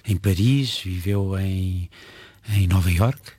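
A man's voice: sits low, slow pace, mellow, breathy edge. A man with a European Portuguese accent interviewing a guest, asking probing, lightly teasing questions. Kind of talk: conversational interview.

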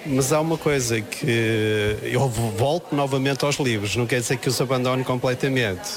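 Measured man among a crowd. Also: medium pitch. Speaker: book fair visitor.

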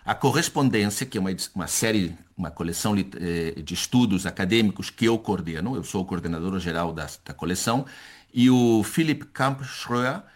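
Halting older man, fairly low voice. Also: lively intonation. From Chile-born son of Brazilian exiles, later Spain. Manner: halting, repeats words while searching. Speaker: academic, university professor, interview guest. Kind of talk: conversational interview answers.

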